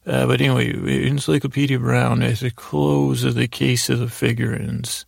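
A man with a gravelly, creaky voice improvising a bedtime story in a mild, friendly, meandering way, halting and circling back as he goes. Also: mid-range voice, little pitch movement, no room sound, studio quality. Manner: meandering, halting, repeats and trails off; mild, friendly, absurdly digressive. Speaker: podcast host telling bedtime stories.

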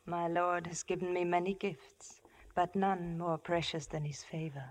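An actress playing a seductive, vengeful royal mistress in a poised, scornful manner, in 1950s radio drama. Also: female voice, on the low side, level tone, a little slow, natural voice. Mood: silky, deferential.

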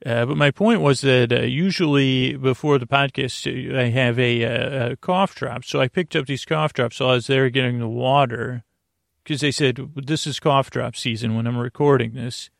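A man with a gravelly, fry-laden voice rambles through a bedtime monologue. He speaks haltingly and wanders into whimsical tangents, leaving thoughts half finished. The sound is dry, with no room around it. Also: mid-range voice, steady tone, no room sound. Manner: meandering, halting, trailing off into tangents. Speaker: sleep podcast host.

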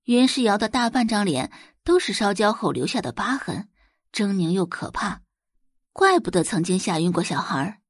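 A woman's voice: medium pitch, lively intonation, normal speed, no room sound, clean studio sound. A woman narrating a novel as an audiobook, in a dramatic scripted storytelling style.

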